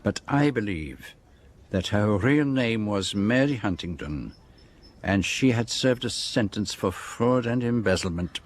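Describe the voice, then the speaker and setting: An actor playing a shrewd, precise Belgian detective with a French-speaking accent, formally laying out a case in a radio drama. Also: male voice, fairly low pitch, lively intonation. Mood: measured, assured. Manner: measured, precise, formal explanation. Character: shrewd, precise, theatrical Belgian detective, middle-aged man.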